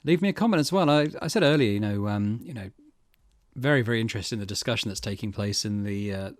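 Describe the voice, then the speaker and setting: A man's voice: lowish voice, quick pace, no room sound. A man hosting a gaming video, giving casual let's play commentary in a thoughtful, somewhat hesitant way.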